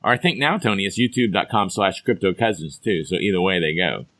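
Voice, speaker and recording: A man hosting a casual podcast, a little hoarse, chatty and upbeat in a folksy way. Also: sits low, expressive, brisk, a bit bright, no echo. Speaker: podcast host. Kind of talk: casual chat.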